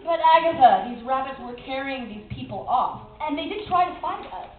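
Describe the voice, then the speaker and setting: A practical, skeptical adventurer played by a woman talks in a high, very varied voice in a live radio play. The sound bounces around an echoey room. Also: lively intonation, normal speed, a little hiss or hum. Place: hotel function room on stage. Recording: echoey room, tinny camera audio.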